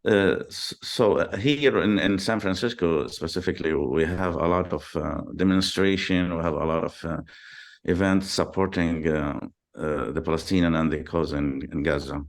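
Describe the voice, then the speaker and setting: A middle-aged man from Lebanon, speaking as an interview guest in a halting way with many pauses and repeated words. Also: deep voice. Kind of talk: video call interview.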